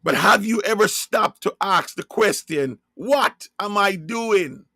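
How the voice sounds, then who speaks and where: A man from Jamaica with a Jamaican accent, preaching in a slow sermon-style monologue and hammering his points home by repeating and spelling out key words. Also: sits high, expressive, pushed and bright, no echo, studio quality.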